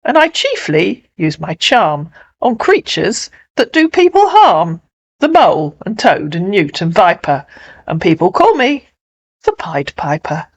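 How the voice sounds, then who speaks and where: A mysterious, courteous storybook piper, played by a woman with an English accent. The speech is measured and proud, with a lively rise and fall. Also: fairly low pitch, breathy edge, exaggerated voice. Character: tall, thin, mysterious male piper with secret charm.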